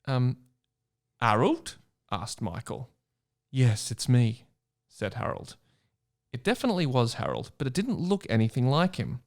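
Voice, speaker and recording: A man bantering casually and reading a story aloud as a podcast guest, teasing in a deadpan, ironic way. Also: medium pitch, normal speed, gravelly. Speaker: guest reader on a comedy podcast.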